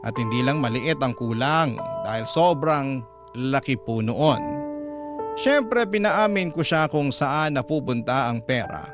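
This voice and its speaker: A man with a Filipino accent narrating a listener's story in a dramatic radio storytelling style. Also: medium pitch. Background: prominent background music.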